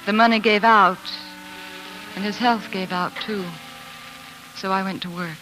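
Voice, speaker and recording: An actress playing a devoted, determined politician's wife in an earnest, articulate 1940s radio drama style. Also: female voice, medium pitch, steady tone, a little slow, music in the background, lightly acted, low-quality recording. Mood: reflective, dramatic. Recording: vintage 1940s radio recording.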